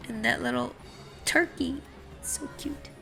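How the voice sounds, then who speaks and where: A woman reading a picture book aloud to children, expressive, asking questions and explaining words as she goes. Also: high-pitched, slow pace, creaky, soft background music. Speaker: read-aloud storyteller for children.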